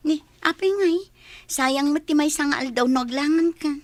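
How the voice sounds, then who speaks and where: A hardworking young Filipina girlfriend, played by a woman in a radio drama. Her voice is fairly high and recorded dry, with an earnest, nagging edge. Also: normal speed, no echo, lightly acted. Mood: mildly exasperated.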